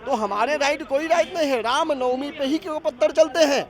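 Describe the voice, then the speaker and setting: A man speaks in a very high-pitched voice as a protester interviewed outdoors. He argues his case insistently, stacking rhetorical questions and sometimes restarting his phrases. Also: fairly quick. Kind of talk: vox-pop political argument. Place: outdoors on a city street.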